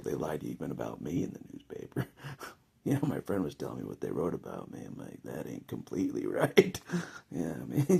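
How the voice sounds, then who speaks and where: American male vlogger, very husky and gravelly, hugely animated pitch, rambling and jokey with frequent chuckles. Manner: chuckles often, jokey, conversational. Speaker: vlogger giving political opinion. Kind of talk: casual rambling monologue to camera.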